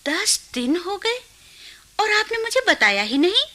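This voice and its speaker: A woman's voice: extremely high pitch, very animated, measured pace, bright, forceful tone, exaggerated voice. An actress playing an anxious, nagging middle-aged wife and mother in a radio drama, questioning and reproachful. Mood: nagging, concerned.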